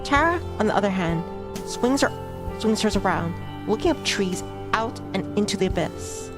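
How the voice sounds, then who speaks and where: Brief: woman streamer narrating a game, mid-pitched voice, chatty asides, soft music under her. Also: expressive, moderate pace. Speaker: VTuber streamer. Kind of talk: reading a visual novel aloud. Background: background music.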